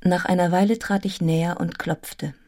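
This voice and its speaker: A woman's voice: fairly low pitch, steady tone, moderate pace, mellow, close to an everyday voice. An actress playing a devoted, independent young Englishwoman in a German radio play, speaking and narrating with literary, composed earnestness. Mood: somber narration. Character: devoted, independent young Englishwoman telling her own story. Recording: studio radio play with music.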